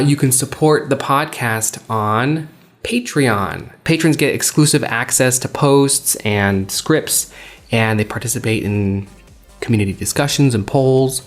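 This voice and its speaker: A man hosting a podcast in casual, unscripted chat, rambling and self-deprecating. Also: mid-range voice. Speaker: podcast host and reader.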